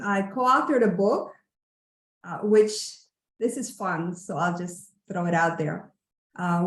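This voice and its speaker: A woman from Cuba, once a TV news correspondent, introduces herself in a measured, polished way. Her voice has an ordinary middle pitch, and the room around her rings with a noticeable echo. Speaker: former television news correspondent. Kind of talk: casual self-introduction. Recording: echoey room.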